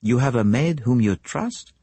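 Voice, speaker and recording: Narrator playing a polite sleuth, formal and probing, husky, lively delivery. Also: male voice, mid-range voice, measured pace, no room sound, voice pushed up. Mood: businesslike. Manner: formal, businesslike, probing questions. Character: courteous, precise foreign male detective, middle-aged.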